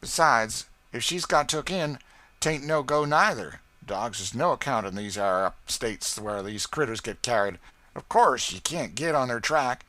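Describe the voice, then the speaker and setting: Man voicing sly nervous lawyer, smooth, conciliatory, lilting, dry close sound. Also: mid-range voice, pushed and bright, no echo, pitched above the performer's own voice. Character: sly, wheedling lawyer and slave catcher, nervous. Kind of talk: audiobook character voice.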